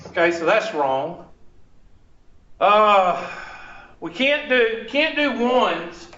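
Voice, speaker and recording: A man's voice: sits high, big pitch swings, very slow, sharp tone. A man teaching a math class, working problems aloud and questioning students in a classroom. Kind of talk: classroom lesson. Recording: echoey classroom, dull far-off sound.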